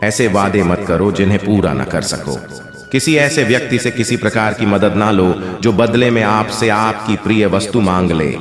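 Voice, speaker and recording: A man's voice: lowish voice, moderate pace, crowd noise. Echoey male storyteller, scripted narration. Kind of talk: scripted moral-tale narration.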